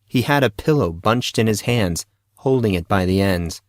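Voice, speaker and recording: A man narrates a fiction audio drama in a low register. The sound is dry, with very little room on it, and the delivery is scripted storytelling. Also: lively intonation, moderate pace, no room sound.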